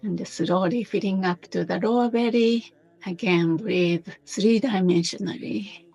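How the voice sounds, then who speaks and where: A woman teaching a guided meditation in a gentle, unhurried manner, pausing between short phrases. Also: mid-range voice, vocal fry, husky, faint music behind.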